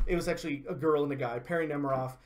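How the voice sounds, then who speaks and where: A man's voice: on the high side, fairly even intonation, racing. A man co-hosting a show, talking through a review in an informal, conversational, loosely phrased way. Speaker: co-host of a video game and movie show. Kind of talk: casual review chat.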